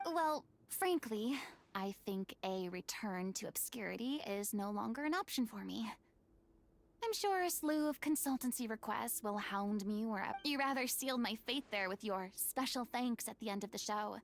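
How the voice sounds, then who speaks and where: High, creaky-edged refined young stage star. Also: female voice, animated, moderate pace. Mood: reflective. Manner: eloquent, formal, theatrical. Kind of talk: English video game voice acting. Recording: music playing behind the voice.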